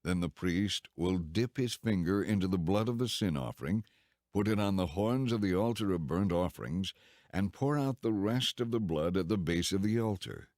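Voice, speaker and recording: A man narrating scripture from a script in a solemn, measured, formal way. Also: sits low, animated, darkish tone, dry recording, clean studio sound. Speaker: audiobook narrator.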